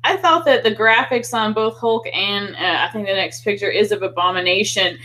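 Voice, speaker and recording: A woman's voice: mid-range voice, moderate pace, sharp tone. A woman co-hosting a casual film discussion, talking in an enthusiastic, chatty, informal way. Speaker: podcast co-host.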